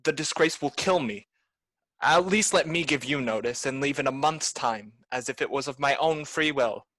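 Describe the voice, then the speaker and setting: A proud servant played by a woman pleads in melodramatic old radio drama style. The tone is forceful and bright, deferential in manner, with a very dry, close sound. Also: very deep voice, moderate pace, no echo, poor audio. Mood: pleading. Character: proud, pleading disgraced male butler around forty. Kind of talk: 1930s-style radio play acting.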